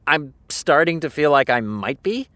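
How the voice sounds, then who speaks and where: An actress playing an anxious, obsessive TV showrunner in naturalistic audio drama, with a muttering, stammering delivery. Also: female voice, low voice, expressive, moderate pace, a bit bright, no room sound. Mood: flustered. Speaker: actor.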